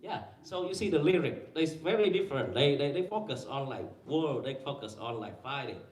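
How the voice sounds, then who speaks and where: A man presenting at a conference panel, talking haltingly with many fillers and restarts and quizzing the audience. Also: medium pitch, expressive, darkish tone. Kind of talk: academic presentation with slides. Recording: echoey room, mic far away.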